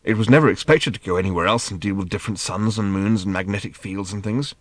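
A man reading a storybook as a bewildered, well-meaning middle-aged father who explains things in a halting, fumbling way. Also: on the low side, dry recording, clean studio sound, close to an everyday voice. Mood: patient, explaining.

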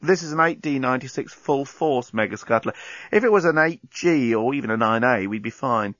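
A man's voice: mid-range voice, expressive, a little fast, fairly bright tone, no room sound, muffled recording, close to an everyday voice. A man voicing a matter-of-fact bomb disposal technician in a storybook, hesitant as he explains technical details.

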